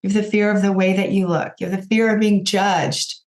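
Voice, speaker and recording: A woman with a raspy voice, hoarse from a cold, hosting a podcast and giving direct, encouraging coaching talk to her listeners. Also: medium pitch, flat delivery, a little fast. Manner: encouraging, direct, addresses listeners as you guys. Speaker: podcast host and business coach.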